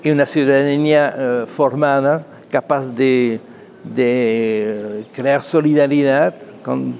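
Man, gravelly creak, interview guest, halting, emphatic, repetitive, faint background noise. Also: mid-range voice, fairly even intonation, darkish tone. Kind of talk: spoken interview, explanatory opinion.

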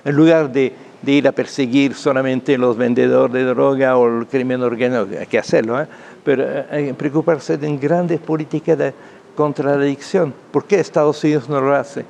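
Man, gravelly creak, interview guest, halting, emphatic, repetitive, faint background noise. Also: mid-range voice, steady tone, darkish tone. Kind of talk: spoken interview, explanatory opinion.

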